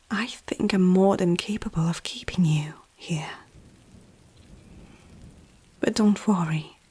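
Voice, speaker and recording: A woman voicing a powerful, teasing female vampire noble in an ASMR roleplay, speaking softly and often close to a whisper, with an aristocratic, self-assured manner. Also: medium pitch. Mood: calm, assured. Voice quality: soft, breathy, often near whisper. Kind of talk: ASMR roleplay addressed to the listener.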